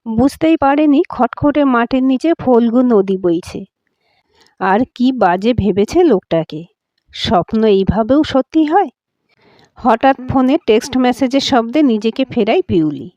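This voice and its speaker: Woman storyteller with a higher-pitched voice. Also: animated, a little slow, mellow, no room sound, dull audio. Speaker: audio story narrator. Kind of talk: expressive scripted story narration.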